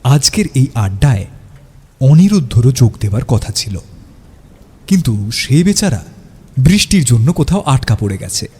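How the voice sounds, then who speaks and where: A man's voice: mid-range voice, very animated, a little slow, long pauses, darkish tone, husky. A man narrating a scripted audio story in a dramatic storytelling style.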